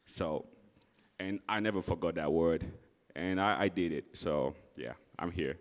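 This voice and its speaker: A man answers questions on a panel in a deep voice, earnest and a little halting. The big conference hall gives his voice a long trail of echo. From Nigeria. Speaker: alumnus panelist.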